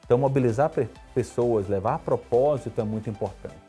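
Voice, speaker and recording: A man around fifty from Salvador, a guest telling his life story in a relaxed interview. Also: soft background music. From Brazil (Salvador, Bahia). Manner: quick, storytelling, lively pitch swings. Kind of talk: conversational interview answers.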